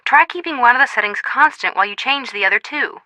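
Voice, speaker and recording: A woman's voice: on the high side, lively intonation, fast talker, sharp tone, no room sound, low-quality recording. A woman voicing a bubbly, eager young sidekick in a video game, chatty, upbeat and encouraging. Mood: bubbly, helpful. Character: bubbly, eager young female sidekick friend, sweet-toothed.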